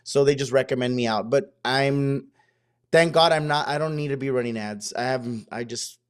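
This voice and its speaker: Chill guy talking shop, lots of dude and bro, relaxed chat. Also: medium pitch, normal speed, smooth. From Mexico. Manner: laid-back, slangy, says dude and bro. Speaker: wedding photographer guest. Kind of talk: casual podcast chat.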